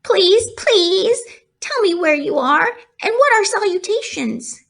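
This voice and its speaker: A woman voicing an earnest, polite, excitable young male pig in a children's storybook. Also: very high-pitched, slow, creaky, voice pushed up, heavily acted. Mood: startled, excited. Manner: formal, pleading, eager. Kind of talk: children's storybook read-aloud.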